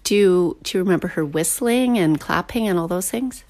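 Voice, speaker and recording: A woman's voice: lowish voice, animated, moderate pace, darkish tone, no echo. A woman interviewing someone in a conversational, personal documentary style.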